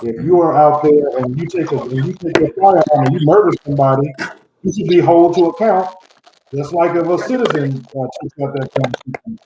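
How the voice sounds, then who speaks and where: A man's voice: a little high, moderate pace, noisy background. A man on a video call panel, making his point firmly and with emphasis. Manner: firm, emphatic. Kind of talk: casual panel discussion. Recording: dull echoey room audio.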